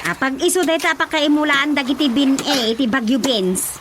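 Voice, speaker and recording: A woman's voice: high voice, moderate pace, noisy background, lightly acted. An actress playing an adult village woman who leads community projects, talking in a chatty, explanatory way in an Ilocano radio drama. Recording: music under the dialogue.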